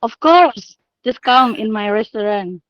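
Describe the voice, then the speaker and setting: A woman student with an Indonesian accent answers slowly in hesitant English, pausing often on fillers. Her voice comes through a dry, dull video call line. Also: a little high, expressive, no room sound.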